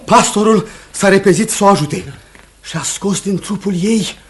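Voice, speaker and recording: A man's voice: high-pitched, close to an everyday voice. A man playing an officious, accusing court clerk in a Romanian radio play, insistent and dramatic. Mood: grave, insinuating. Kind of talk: Romanian radio theatre acting.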